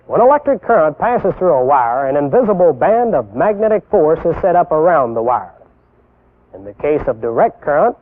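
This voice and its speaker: Young american guy doing a scripted 60s training film, kinda high voice, teachy and deliberate, tinny old soundtrack. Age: young adult. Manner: didactic, deliberate, poses rhetorical questions. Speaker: military instructor presenting a training film. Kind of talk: scripted instructional narration. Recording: tinny band-limited 1960s film soundtrack.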